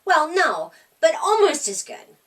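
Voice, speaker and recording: A woman reading a children's storybook as an eager, excitable little female mouse with news to share. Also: very high-pitched, measured pace, pitched above the performer's own voice, full character voice.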